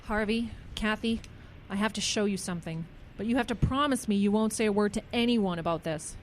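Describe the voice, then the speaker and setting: A woman's voice: mid-range voice, fairly quick, slight background noise, lightly acted. A woman playing an earnest, concerned small-town woman in a radio drama. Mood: secretive, puzzled.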